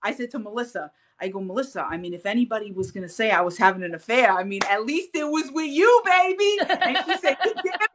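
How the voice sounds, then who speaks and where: Animated woman telling a story. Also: on the high side, fast talker, hardly any pauses. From Puerto Rican heritage. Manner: animated storyteller, acts out quotes, laughs often. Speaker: podcast guest. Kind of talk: casual interview chat.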